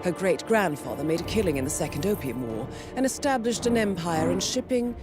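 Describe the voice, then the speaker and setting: An actress playing a composed mission handler giving dry, crisp mission briefings. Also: female voice, fairly low pitch, expressive, fairly quick, lightly acted. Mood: poised. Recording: game cutscene over music.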